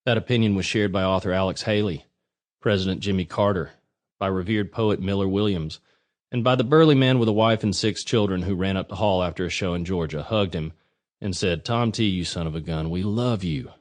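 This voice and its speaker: A man's voice: low-pitched, brisk. A man narrating a nonfiction audiobook from a prepared script.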